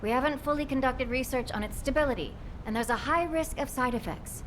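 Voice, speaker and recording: A high, expressive woman's voice as a protective, reproachful scientist in a dramatic video game. She speaks with earnest care and real feeling. A faint rumbling noise sits behind her. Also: fairly quick. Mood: worried, clinical.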